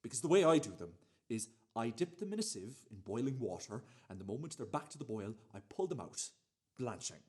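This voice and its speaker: A man acting a defensive, fussy home cook in a radio play monologue, emphatic and repetitive, with a husky voice. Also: medium pitch, sing-song, brisk, darkish tone. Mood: fussy pride. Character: defensive, fussy man boasting about his cooking.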